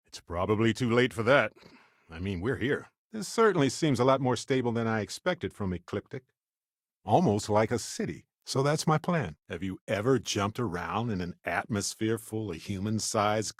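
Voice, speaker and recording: Affable space adventurer, lowish voice, animated intonation, wry and playful, video game companion. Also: male voice, dry recording, studio quality, heavily acted. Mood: casual, musing, then wry, amused.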